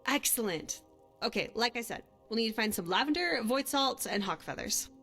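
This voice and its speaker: A woman's voice: a little high, expressive, moderate pace, bright, forceful tone, breathy edge, heavily acted. Bold young adventurer woman voiced by an actress, music underneath. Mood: casual, coaxing. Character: young woman adventurer and hunter, guilt-haunted, bold, reassuring companion. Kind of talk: fantasy game companion voice acting.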